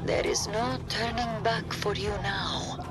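A woman's voice: mid-range voice, steady tone, takes it slowly, close to an everyday voice. A woman playing a powerful, theatrical seer in a video game, sassy and portentous in manner. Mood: grave, portentous. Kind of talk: scripted video game voice acting. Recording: loud game music behind the voice. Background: loud music.

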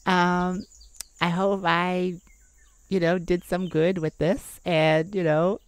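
A woman's voice: lowish voice, level tone, takes it slowly, clear, resonant tone. A woman hosting an improvised ASMR-style self-care podcast, talking in an informal, reassuring way. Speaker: podcast host.

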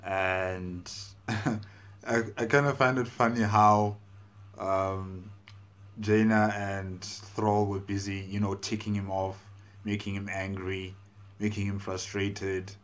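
A man in his thirties giving casual reaction commentary, thinking aloud in a halting, repetitive way. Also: fairly low pitch, level tone. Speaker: reaction video host. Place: small plain room indoors. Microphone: lapel mic.

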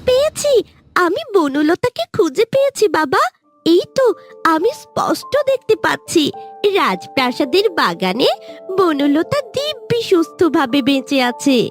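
A woman's voice: very high-pitched, very animated, unhurried, faint music behind, heavily acted. A woman voicing a friendly, devoted talking tree in a fairy-tale cartoon, childlike, eager and caring. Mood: excited.

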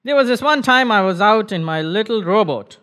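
Man playing a slow-talking, dreamy old grandpa fisherman. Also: high-pitched, lively intonation, a bit bright. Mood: storytelling. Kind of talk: puppet show storytelling for children.

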